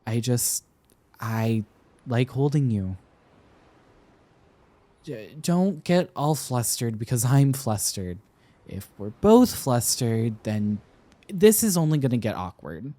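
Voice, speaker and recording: Man acting a slow-talking, flustered young boyfriend. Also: medium pitch, very animated, dry recording. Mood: shy, flustered. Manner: hesitant, stammering, soft-hearted. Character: flustered, tender college-age boyfriend, teasing and shy. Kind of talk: intimate romantic roleplay ASMR.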